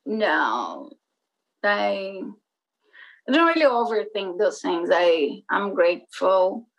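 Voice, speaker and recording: A woman's voice: mid-range voice, sing-song, takes it slowly, poor audio. A woman in her forties from Brazil with a Brazilian Portuguese accent, speaking English as a second language and chatting casually in an interview, full of "like" and "you know". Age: 40s. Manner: rambling, many fillers like "like" and "you know". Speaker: skateboarder and former magazine publisher.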